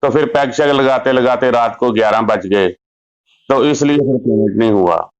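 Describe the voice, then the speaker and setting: A man who owes money, calmly giving joking, unbothered excuses over a phone call. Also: mid-range voice, normal speed, clear, resonant tone. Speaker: borrower. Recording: muffled phone call recording.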